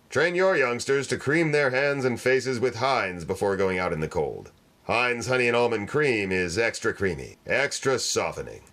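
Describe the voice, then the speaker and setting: A man playing a 1940s radio announcer, reading scripted copy in a measured, salesmanlike way. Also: mid-range voice, fairly bright tone. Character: smooth-selling male 1940s radio announcer. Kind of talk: scripted radio advertisement read.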